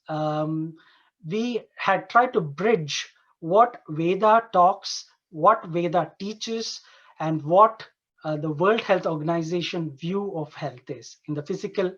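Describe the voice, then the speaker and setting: A man from Dubai giving an informal conference presentation as an Ayurveda doctor, earnest and halting, often repeating and rephrasing his phrases. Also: high-pitched, fairly bright tone. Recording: dull, lo-fi online conference audio.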